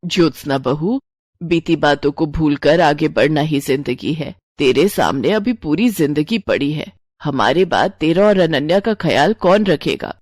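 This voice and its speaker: A woman's voice: sits low, animated, measured pace, a little mellow, no room sound, clean studio sound, pitched below the performer's own voice. A woman voicing a caring, practical older mother-in-law in a cartoon moral story. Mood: earnest persuasion. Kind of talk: animated moral story dialogue.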